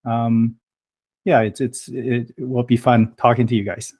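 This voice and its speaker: A man's voice: mid-range voice, little pitch movement, measured pace, a little mellow, husky. A man in his thirties, from Taiwan and raised in Canada, answering interview questions casually with lots of hesitation and restarts. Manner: hesitant, many fillers and restarts. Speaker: guest, blogger.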